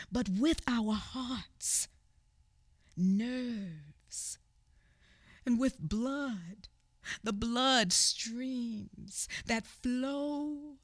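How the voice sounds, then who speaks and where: Slow, husky woman narrating solemnly. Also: fairly high pitch, no room sound, clean studio sound. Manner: slow, somber, often dropping to a whisper. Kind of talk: dramatic radio theatre narration.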